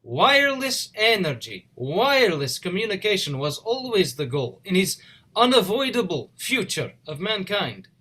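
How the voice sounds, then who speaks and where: A man acts out a proud immigrant visionary. The voice sits high and bright, with formal, declamatory stage oratory that swings widely in pitch. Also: big pitch swings, a little slow, breathy edge, full character voice. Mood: proud, aggrieved. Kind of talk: theatrical speech in a play reading.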